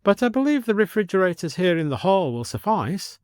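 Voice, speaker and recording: Man putting on a high-pitched, bossy village lady. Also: big pitch swings, fairly quick, darkish tone, smooth, no room sound, studio quality. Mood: prim, firm. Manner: commanding, proper, formal. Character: bossy, prim upper-class village lady chairing a committee.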